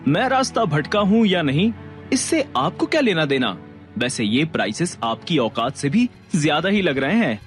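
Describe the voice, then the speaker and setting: An actor playing a self-assured, calmly defiant husband in an audio drama. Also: male voice, fairly high pitch, moderate pace, soft background music. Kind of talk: Hindi audio drama acting.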